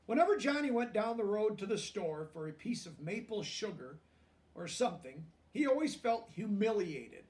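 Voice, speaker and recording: High-pitched man reading aloud, distant from mic. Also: big pitch swings, a little mellow. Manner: pauses to explain words and check understanding in plain language. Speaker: storybook reader teaching children. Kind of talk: children's read-aloud with explanatory asides.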